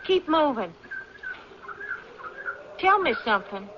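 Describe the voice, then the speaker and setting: A tough young backwoods girl in a vintage radio drama. Her voice is high and her orders are curt and folksy. The sound is dull and clearly hissy. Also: very animated, takes it slowly, heavily acted. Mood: guarded, commanding. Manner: curt, commanding, folksy rural grammar. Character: tough, suspicious young mountain girl with a rifle. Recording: muffled, noisy old radio broadcast.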